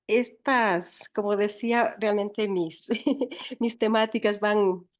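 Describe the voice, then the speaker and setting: A woman from Ecuador with an Ecuadorian accent, speaking conversationally as a guest writer and educator in an interview. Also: medium pitch.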